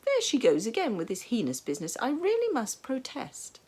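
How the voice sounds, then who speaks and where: A woman voicing an indignant, sharp-tongued peasant woman. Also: fairly high pitch, wildly swooping pitch, moderate pace, slightly rough, voice pushed up, heavily acted.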